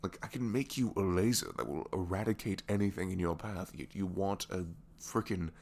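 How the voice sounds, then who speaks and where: Man playing a low, gravelly, reluctant supervillain. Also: wildly swooping pitch, measured pace, fairly bright tone, exaggerated voice. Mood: exasperated. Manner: hesitant, wry, rambling, trailing tag questions, sighs, drops to whispers. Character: reluctant captured supervillain gadget inventor, self-deprecating, secretly kind man. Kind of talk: ASMR roleplay audio drama, one-sided conversation.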